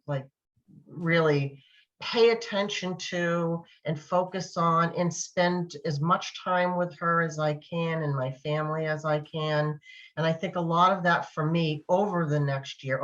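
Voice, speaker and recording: Low-voiced middle-aged woman, thoughtful. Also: dull audio. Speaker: panel guest. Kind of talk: reflective casual chat.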